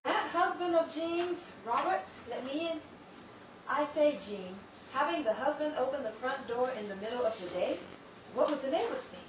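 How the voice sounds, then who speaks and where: An actress playing a haughty, sardonic sister-in-law who speaks with cool superiority in a 1930s-style radio drama. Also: female voice, sits high, lively intonation, normal speed, roomy sound, distant mic, highs cut off, background noise.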